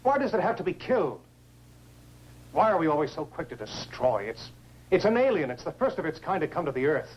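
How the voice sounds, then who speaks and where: Anguished, pleading astronaut, swooping pitch. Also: male voice, sing-song, breathy edge, muffled recording. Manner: impassioned, halting, pleading. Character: anguished astronaut pleading for the alien inside him. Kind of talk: 1950s science fiction film acting.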